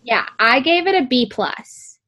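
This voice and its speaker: A young woman with a sweet, squeaky voice, chatting casually about music and peppering her talk with "like". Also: lively intonation, a little slow, pushed and bright. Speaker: co-host reviewing music. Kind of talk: casual chat.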